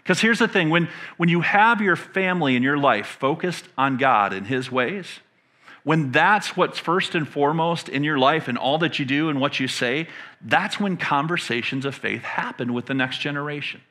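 Middle-aged American man, higher-pitched forceful voice, preaching conversationally from a stage, emphatic with rhetorical questions. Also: expressive. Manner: emphatic, pauses to pose questions to listeners. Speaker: pastor. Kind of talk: conversational sermon teaching. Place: indoor stage in a church room.

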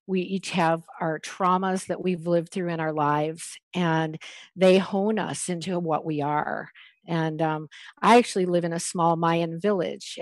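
Middle-aged lady host on a headset call, warm and affirming, lowish voice, dry sound. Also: no room sound. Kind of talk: casual video-call interview. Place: small village home indoors. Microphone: headset on video call.

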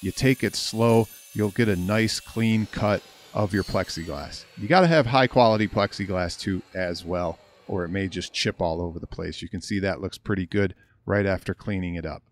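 Lower-pitched American man explaining DIY work. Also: moderate pace, hardly any pauses. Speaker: hobbyist video host.

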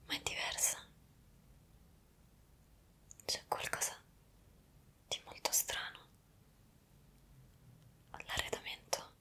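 Woman acting a bewildered time traveller, slow whisper. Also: bright, forceful tone. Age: young adult. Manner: hesitant, halting, wondering. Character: bewildered young woman magically transported to the past. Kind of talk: whispered Italian ASMR roleplay.

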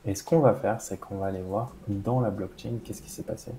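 A man's voice: lowish voice, steady tone, fairly quick, warm tone, a little hiss or hum. A French-speaking man patiently walking through a crypto wallet demo on a video call, often saying "voilà". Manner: patient, explanatory, with frequent "voilà". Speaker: crypto educator demonstrating a wallet. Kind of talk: screen-share walkthrough in a live interview. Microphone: webcam video call.

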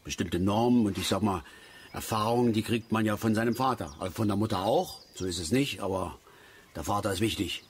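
A man's voice: fairly low pitch, a little fast, slight background noise, lightly acted. An actor playing a cantankerous, opinionated middle-aged father and angler in a radio play, lecturing and easily worked up. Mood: gruff, dismissive. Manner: blustering, lecturing, repeats words in agitation. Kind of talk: naturalistic radio play acting.

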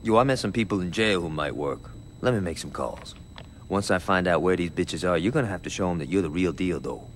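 A man's voice: low-pitched, lively intonation, fairly quick, slight background noise. A man voicing a brash, trigger-happy, wisecracking gangster sidekick in a video game, using sardonic, profane street slang. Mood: irritated, brash. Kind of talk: video game cutscene acting.

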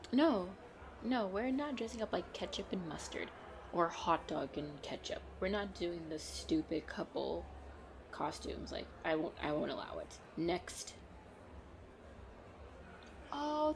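A woman playing a playful, excitable girlfriend in a chatty roleplay audio, with a bubbly, expressive delivery. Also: on the low side, noisy background. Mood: chatty, upbeat. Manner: bubbly, expressive, frequent giggles and exclamations. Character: playful, excitable, pleading girlfriend out costume shopping.

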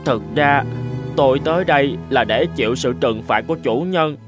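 A man's voice: mid-range voice, normal speed, natural voice. An actor voicing a timid, honest young servant man in a cartoon, stammering. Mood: earnest. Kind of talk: animated cartoon dubbing. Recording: music behind the voice.

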